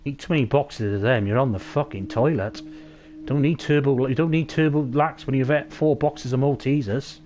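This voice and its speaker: A man streaming a video game, talking to the characters in loud, sweary, rambling banter. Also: medium pitch, normal speed. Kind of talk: game livestream commentary.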